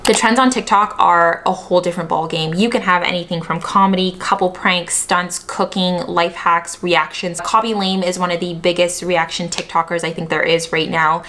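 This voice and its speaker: A woman's voice: fairly low pitch, steady tone, normal speed, hardly any pauses, a bit bright, a little hiss or hum. Chatty Canadian woman vlogger. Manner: chatty, opinionated, emphatic asides. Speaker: YouTube vlogger. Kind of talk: scripted explainer commentary.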